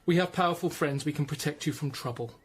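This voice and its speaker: A fairly high-pitched Tudor official , acted by a man for radio drama, firm and guarded with a pious solemnity. Slight noise is heard behind. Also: fast talker, lightly acted. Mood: firm, secretive. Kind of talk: BBC radio drama acting.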